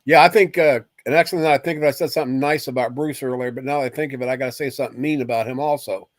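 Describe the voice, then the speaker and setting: A man joking loudly and playfully in a casual group chat. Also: medium pitch, fairly even intonation, very fast, no echo, clean studio sound. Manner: loud, playful, jokey.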